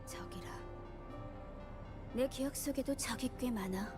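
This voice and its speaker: A woman's voice: a little high, racing, natural voice. A woman voicing a melancholy, guarded young woman in a video game, speaking in a terse, brooding way. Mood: bitter, brooding. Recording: game audio with music behind. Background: game music.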